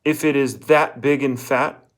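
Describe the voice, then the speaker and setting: A man voicing a naive, lovestruck fifteen-year-old boy in a storybook, earnest and quick to answer. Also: mid-range voice, steady tone, close to an everyday voice. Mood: earnest, questioning.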